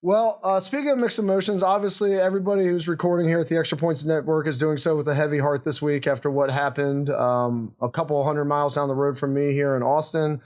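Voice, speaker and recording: A man in his thirties or forties co-hosting a casual sports podcast, talking in an energetic, emphatic way. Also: fairly high pitch, quick pace, few pauses. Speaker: podcast co-host.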